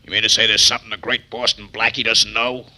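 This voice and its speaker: A sullen thug: low, tight-lipped, forceful, vintage radio crime acting. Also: male voice, level tone, fast, poor audio. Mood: defiant. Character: sullen, defiant captured hired killer. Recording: vintage 1940s radio recording.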